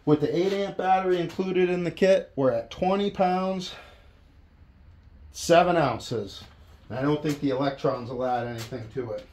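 A man's voice: fairly high pitch, normal speed, a little mellow. A middle-aged man with a North American accent giving a casual hands-on tool review in an offhand, plain-spoken way. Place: indoor wooden workshop. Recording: echoey room, faint background noise.

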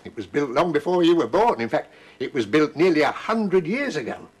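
A man's voice: fairly high pitch, very animated, moderate pace, husky. An older actor playing a kindly grandfather who patiently explains old times to children, in a children's TV drama. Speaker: grandfather who does magic. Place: kitchen set, indoors.

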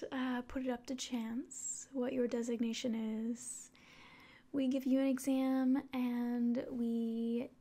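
A woman in her twenties doing an ASMR roleplay as a doctor, speaking softly and often whispering in a polite, measured way. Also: high-pitched. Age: 20s. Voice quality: soft, breathy, often whispering. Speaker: ASMR performer. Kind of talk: ASMR roleplay as an alien doctor giving an exam. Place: small quiet room indoors.

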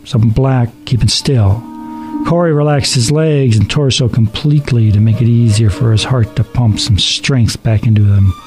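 Guy narrating a dramatic radio story, mid pitched voice, music playing behind him. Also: normal speed. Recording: music under the voice.

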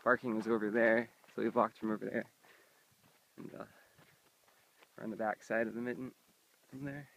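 A man's voice: fairly low pitch, moderate pace, gravelly, long pauses, muffled recording, a little hiss or hum. A young man narrating his road trip casually while filming outdoors in open desert on a handheld camera. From Indiana, United States. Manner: relaxed, touristy commentary while walking.

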